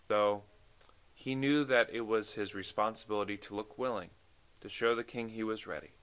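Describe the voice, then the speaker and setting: A man reading a children's book aloud as an expressive, dramatic storyteller. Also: mid-range voice. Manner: dramatic, animated. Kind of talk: expressive bedtime story narration.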